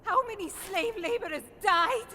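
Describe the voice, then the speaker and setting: An actress playing a bitter, vengeful young resistance fighter in a video game, intense and deliberate. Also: female voice, very high voice, bright, forceful tone, a little hiss or hum. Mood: bitter anger. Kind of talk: dramatic video game acting.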